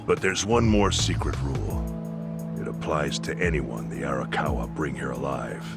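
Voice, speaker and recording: A very deep-voiced underworld insider. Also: male voice, normal speed, vocal fry, loud music behind the voice. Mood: explaining. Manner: matter-of-fact, colloquial. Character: plain-spoken male underworld insider explaining secret rules. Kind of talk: video game cutscene voice acting.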